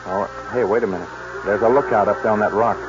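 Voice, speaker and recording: An actor playing a cautious but game American cowboy sidekick, folksy and exclaiming, in a 1940s radio western. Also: male voice, on the low side, fairly even intonation, fast, music in the background, natural voice. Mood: nervous, game. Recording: noisy, muffled 1940s radio recording.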